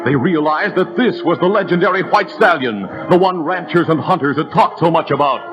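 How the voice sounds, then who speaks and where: A man's voice: a little high, a little fast, lo-fi sound, over music. A man narrating a 1930s radio adventure drama with a vivid, building delivery.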